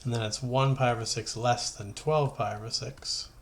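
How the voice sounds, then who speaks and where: A man's voice: mid-range voice, fairly even intonation, moderate pace. A man giving an informal math lesson as a teacher, talking conversationally and thinking aloud as he works through steps. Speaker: high school math teacher.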